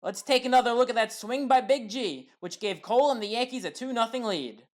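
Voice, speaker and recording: A woman giving a scripted play-by-play voice-over of game highlights, in a steady recap delivery that lifts on big plays. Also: a little fast. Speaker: highlights commentator.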